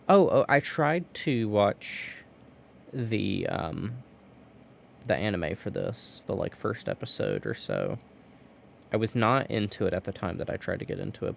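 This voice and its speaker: Low gravelly man chatting on stream. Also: big pitch swings, moderate pace, slight background noise. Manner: rambling, restarts words often. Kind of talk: casual chat while gaming.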